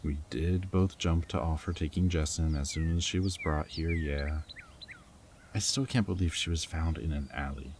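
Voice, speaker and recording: A man's voice: very deep voice, moderate pace, a little mellow, a little hiss or hum. A man playing a goofy, affectionate young rebel scientist in an intimate roleplay audio drama, talking tenderly and earnestly to his partner. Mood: worried. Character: goofy, affectionate young male rebel lab scientist and anxious foster parent.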